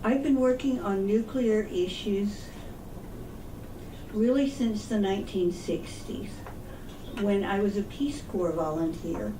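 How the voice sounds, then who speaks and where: A woman in her seventies giving a talk at a meeting, speaking softly and with hesitant restarts. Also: mid-range voice, takes it slowly. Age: 70s. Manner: soft-spoken, hesitant restarts. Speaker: guest speaker. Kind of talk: public talk. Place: meeting room. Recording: echoey room, far from the mic, noisy.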